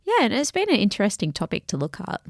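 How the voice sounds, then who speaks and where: A woman's voice: medium pitch, wildly swooping pitch, fast talker, no room sound, clean studio sound. A young woman co-hosting a podcast, chatty and laughing often, sometimes dropping to a near-whisper. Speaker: podcast co-host. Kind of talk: casual chat.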